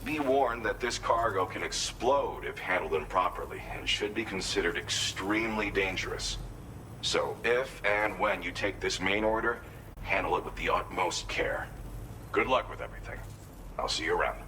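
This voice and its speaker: A man playing an earnest, grateful researcher in a video game cutscene, explaining things in a measured, conscientious way. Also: on the low side, sharp tone, a little hiss or hum, low-quality recording. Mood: grateful, cautioning. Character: earnest, grateful male researcher and weapons inventor briefing a courier.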